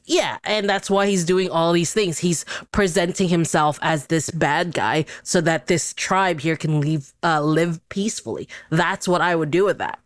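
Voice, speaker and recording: A woman in a casual chat as a podcast guest on tabletop gaming, speaking haltingly as she thinks aloud. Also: lowish voice, dry recording.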